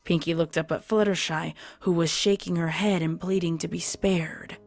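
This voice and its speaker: A woman's voice: lowish voice, steady tone, moderate pace, darkish tone. A woman narrating a scripted dramatic story. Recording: prominent music under narration.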